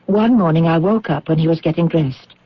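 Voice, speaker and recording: A woman's voice: low voice, fairly quick, dry recording. An actress playing an anxious widow in a radio drama, earnest and hesitant as she speaks. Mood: confiding. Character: anxious British widow confessing a secret to officials. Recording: dull, muffled old radio broadcast.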